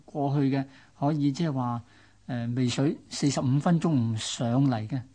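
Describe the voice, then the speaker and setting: A man's voice: mid-range voice, moderate pace, warm tone. A man with a Hong Kong accent co-hosting radio, talking reflectively and explaining things in a conversational storytelling way. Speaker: radio co-host. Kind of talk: conversational radio storytelling about local history.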